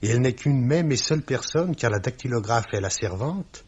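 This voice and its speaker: An actor playing a stern, sanctimonious company boss who speaks in pompous, formal, scripture-laden sentences in a 1950s-style radio mystery. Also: male voice, medium pitch, expressive, brisk, darkish tone. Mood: righteous, condemning. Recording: dull old radio recording with clear hiss.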